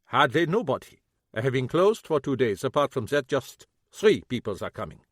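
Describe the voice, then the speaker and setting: A man voicing a gruff old German cobbler with broken English and a German accent. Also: mid-range voice, big pitch swings, moderate pace, no room sound, studio quality. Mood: prickly. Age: older. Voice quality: clipped broken English, prickly.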